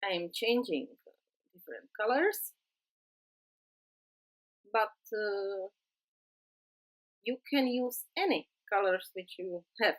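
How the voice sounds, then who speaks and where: A woman with a Czech accent, a non-native English speaker, narrating a card-making tutorial in a halting way with many pauses. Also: mid-range voice, animated, low-quality recording. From Czech Republic. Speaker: crafting tutorial host.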